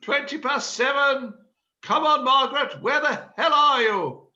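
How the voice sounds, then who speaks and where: A man's voice: very high-pitched, a little slow, bright, forceful tone, dull audio, full character voice. A man playing an exasperated, theatrical amateur stage director in a read-through of a comedy play. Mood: panicked, exasperated.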